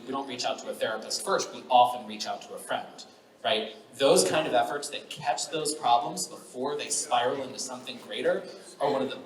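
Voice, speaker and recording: A man speaking as a panelist in a practised public-speaking style, posing rhetorical questions and answering them emphatically. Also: mid-range voice. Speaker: panelist, mental health advocate. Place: large event hall with audience. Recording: echoey room, distant mic, audience noise.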